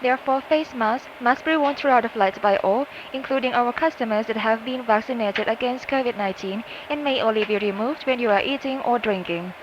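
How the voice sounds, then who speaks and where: A woman's voice: a little fast, hardly any pauses. A woman working as a flight attendant reads a scripted inflight announcement over an aircraft cabin PA. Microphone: cabin PA system heard through speakers. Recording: muffled cabin PA with loud engine noise. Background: loud aircraft cabin rumble.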